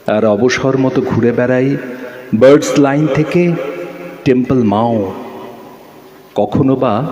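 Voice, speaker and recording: A man playing a reflective writer, narrating memories in an audio drama in a measured, wistful way with pauses. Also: on the high side, darkish tone. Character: reflective male writer recalling his island days. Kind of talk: dramatic literary story narration.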